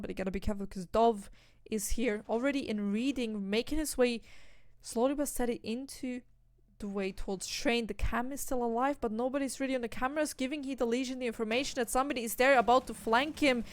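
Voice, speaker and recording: A young woman from Austria, commentating an esports match solo, breaking down tactics and lifting into excited calls on the kills. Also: fairly high pitch, brisk, no echo, clean studio sound. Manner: analytical, rising to excited bursts on kills. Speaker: esports caster.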